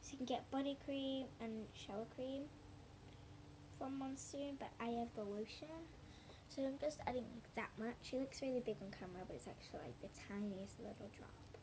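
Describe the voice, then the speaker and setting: A woman chatting casually and haltingly through a hands-on demonstration for viewers, with a hoarse, breathy voice that keeps thinning into a whisper. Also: a little high. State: hoarse, losing her voice. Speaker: slime video maker talking to viewers. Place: at home indoors. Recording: noisy amateur home recording.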